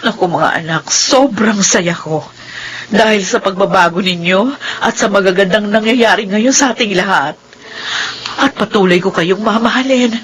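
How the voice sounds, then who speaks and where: Female actor for devout, loving middle-aged mom, medium pitch, tender radio-drama delivery, faint noise behind. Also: moderate pace, close to an everyday voice. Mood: tender joy. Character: loving, forgiving mother of grown children, gentle and devout. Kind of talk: Filipino radio drama acting.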